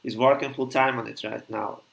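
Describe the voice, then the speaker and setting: A man's voice: mid-range voice, fairly even intonation, brisk, gravelly, fairly bright tone. A man hosting an interview over a video call, asking questions hesitantly and sometimes losing his train of thought. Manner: hesitant, loses track of questions. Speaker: talk show host.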